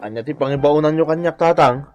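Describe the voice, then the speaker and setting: An actor playing a whining, hapless gambling husband in a comedy radio drama, groaning and pleading. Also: male voice, a little high, quick pace, highs cut off, music in the background, natural voice. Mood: obedient.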